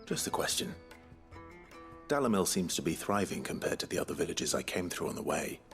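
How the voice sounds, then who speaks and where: An actor playing a calm, guarded warrior hero in a video game, speaking in a measured, serious way. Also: male voice, low voice, vocal fry, soft background music, heavily acted. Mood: calm, inquiring.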